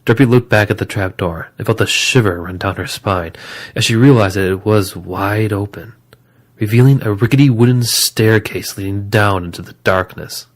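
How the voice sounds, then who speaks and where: A man's voice: on the low side, moderate pace. A man reading scripted story narration as the narrator of an audio drama.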